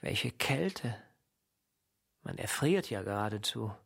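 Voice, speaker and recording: A man playing a brooding, solitary writer in a radio drama, speaking in theatrical literary monologue with a gravelly voice. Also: fairly low pitch, lively intonation, slow pace, exaggerated voice. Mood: restless. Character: Dürtal, brooding solitary bachelor writer absorbed in research. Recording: loud guitar music behind.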